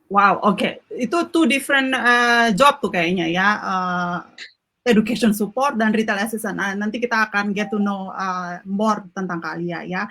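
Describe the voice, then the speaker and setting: A woman's voice: medium pitch, unhurried, bright, forceful tone. A woman hosting an online group chat, chatty and friendly, mixing English words into her talk. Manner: friendly, chatty, laughs easily. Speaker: host.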